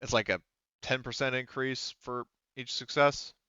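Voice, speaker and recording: A man acting as game master in casual, improvised talk, halting as he thinks aloud. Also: medium pitch, steady tone, sharp tone, clean tone, dry recording, dull audio. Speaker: game master of a tabletop roleplaying game.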